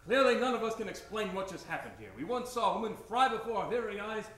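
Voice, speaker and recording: A man's voice: sits high, expressive, fast talker, a bit bright. A man playing a blustering, flustered prison warden with theatrical old-time radio delivery. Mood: commanding. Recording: noisy, echoey live stage.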